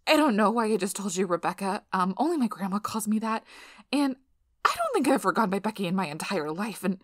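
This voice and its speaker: A woman playing a nervous young female PhD researcher in an ASMR role-play, flustered, halting and apologetic, with breathy whispered asides. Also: medium pitch, big pitch swings, dry recording, studio quality. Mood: awkward, eager.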